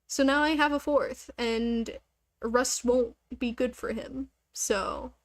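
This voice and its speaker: A woman's voice: on the high side, steady tone, slow pace, dry recording. A woman chatting casually on a gaming livestream, rambling along with the odd swear word.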